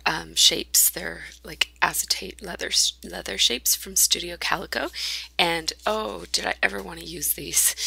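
A hoarse woman chatting through a scrapbooking project at her desk, with lots of ums, restarts and throat clearing. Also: sits low, sharp tone, no room sound, low-quality recording. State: hoarse from a lingering illness. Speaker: scrapbooking video host. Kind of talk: casual craft process narration. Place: indoor craft desk. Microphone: overhead camera on a craft table.

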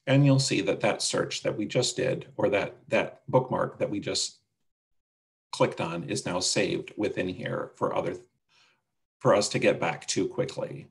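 A man leads a casual software demonstration over a video call. His voice is on the low side with noticeable vocal fry. He explains each step in a plain, instructional way. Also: measured pace. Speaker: webinar presenter demonstrating a website.

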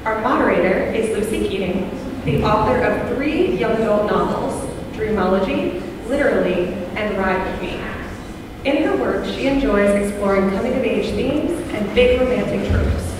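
A woman's voice: mid-range voice, normal speed. A woman reads panel introductions from notes at a podium in a large wood-panelled hall. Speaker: festival committee member introducing a panel. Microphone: podium microphone. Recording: echoey hall, heard from a distance, background noise.

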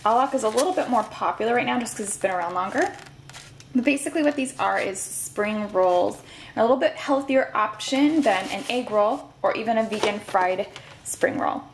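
A woman's voice: a little high, moderate pace, a little hiss or hum. Chatty woman vlogging a food review. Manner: chatty, relaxed, with fillers. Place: home kitchen counter, indoors.

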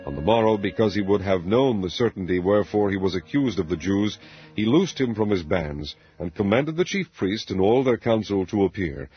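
Low-voiced man solemnly reading scripture. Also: expressive, normal speed, husky. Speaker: Bible narrator. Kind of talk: scripted dramatic reading of King James scripture. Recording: dull lo-fi audio with music underneath. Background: background music.

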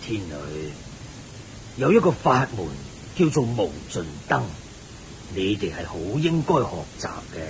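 Wise Buddhist sage, slow lively delivery, benevolent, didactic, Hong Kong Cantonese radio play. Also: male voice, poor audio. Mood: benevolent, solemn. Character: kindly, wise male Buddhist layman sage. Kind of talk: radio drama acting, teaching. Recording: hissy, rumbly old radio recording.

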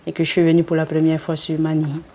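A middle-aged woman chatting at home, gently asking questions about the past. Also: sits low, completely flat delivery, fast talker, a little hiss or hum. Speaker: family member asking questions. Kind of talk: casual chat. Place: indoors in a home living room.

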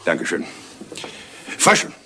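An actor playing a stern senior police inspector in a 1970s radio crime drama, speaking formally. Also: male voice, a little slow, sharp tone, slight background noise.

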